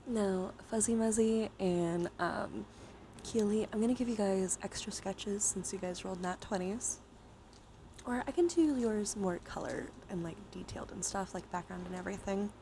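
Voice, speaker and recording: A woman's voice: medium pitch, moderate pace, mellow, slight background noise. A woman hosting a livestream, chatting casually with viewers in a playful, giggly way and swearing freely. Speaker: livestream host. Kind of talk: casual livestream chat with viewers during a dice game.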